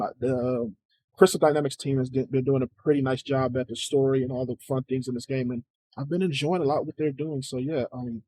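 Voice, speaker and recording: Mellow American man, casual reaction host. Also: medium pitch, poor audio. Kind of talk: casual chat, reacting to a game showcase.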